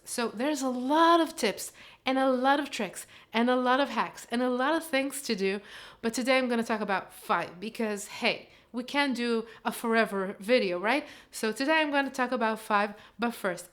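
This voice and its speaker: A woman's voice: on the high side, fairly bright tone. A woman in her thirties with an Israeli accent, a Hebrew teacher talking earnestly to camera in a living room. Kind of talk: casual vlog talk to camera.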